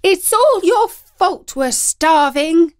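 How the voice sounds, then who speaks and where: A woman voicing a starving, distressed housewife shouting in a high-pitched voice in a storybook reading. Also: expressive, slow pace, exaggerated voice.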